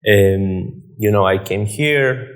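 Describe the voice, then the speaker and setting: A man from Ecuador speaking in a documentary interview in a relaxed, unhurried way. He talks very slowly. His voice sits a little low. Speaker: entrepreneur interviewee.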